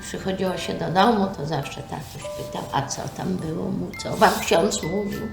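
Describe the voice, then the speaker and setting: An older woman reminisces haltingly. Her voice is gravelly and creaky in an echoey room, with music behind. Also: fairly low pitch. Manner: halting, repeats words. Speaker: senior sharing memories. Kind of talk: casual spoken reminiscence.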